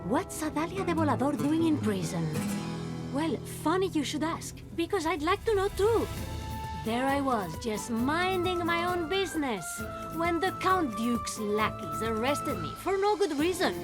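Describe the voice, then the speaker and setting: An actress playing a cocky, daring young swashbuckling heroine in a video game, self-assured, teasing and playfully dramatic. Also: female voice, sits high, big pitch swings, a little slow, over loud music. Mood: wry, indignant. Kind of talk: lively video game cutscene acting.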